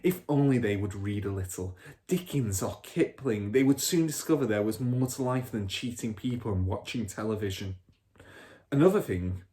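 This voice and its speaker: A man's voice: lowish voice, darkish tone. A man reading a children's storybook aloud expressively, like a primary school teacher.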